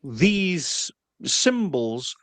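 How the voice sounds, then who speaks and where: A man's voice: fairly high pitch, sing-song, extremely slow, a bit bright, no room sound. Sarcastic crude man, dry close mic. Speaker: podcast panelist. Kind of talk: casual chat.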